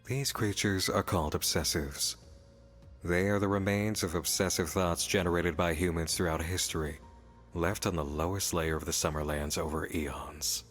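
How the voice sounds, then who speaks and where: An actor playing a sly, playful demon in a visual novel, teasing, cryptic and theatrical. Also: male voice, low-pitched, fairly even intonation, normal speed, a bit bright. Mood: cryptic, calm. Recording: long reverb, music behind.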